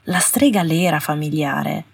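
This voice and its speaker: A woman's voice: low voice, moderate pace, vocal fry, slightly rough. A woman reading a scripted story as an audiobook narrator. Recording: roomy, slightly distant home recording.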